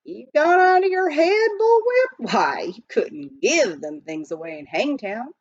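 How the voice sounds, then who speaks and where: Good-natured frontier miner man played by a woman, folksy storybook drawl, animated pitch, slightly dull recording. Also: a little slow, dry recording, heavily acted. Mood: incredulous. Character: folksy male gold miner, teasing, good-natured, colorful. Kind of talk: children's storybook character voice.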